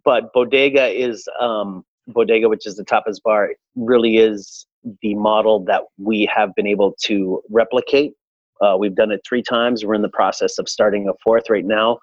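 A man in his forties from Chile, a restaurateur answering interview questions in a relaxed, reflective way with frequent fillers. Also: fairly low pitch, moderate pace, dry recording, lo-fi sound. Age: 40s. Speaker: restaurateur guest.

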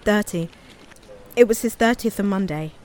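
Actress as an earnest, guilt-ridden sister. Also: female voice, mid-range voice, moderate pace, darkish tone, a little hiss or hum, lightly acted. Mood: anxious, persistent. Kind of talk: audio drama acting.

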